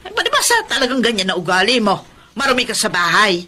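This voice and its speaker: A woman's voice: a little high, wildly swooping pitch, fast, pushed and bright, full character voice. A woman playing a sour, nagging older aunt in a Filipino radio drama, scornful and exclamatory.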